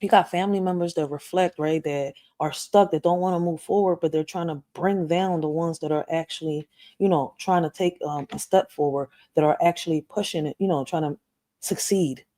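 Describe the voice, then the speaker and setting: Mellow-voiced woman venting to her viewers. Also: deep voice, fairly even intonation, moderate pace. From Puerto Rico. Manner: emphatic, repeats "right?" and "you know" for agreement. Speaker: parenting vlogger. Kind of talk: casual personal monologue to viewers.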